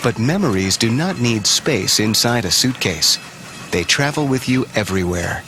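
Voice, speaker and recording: A man reading a documentary narration in a measured, solemn way. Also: medium pitch, animated, sharp tone, a little hiss or hum. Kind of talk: scripted narration. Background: music.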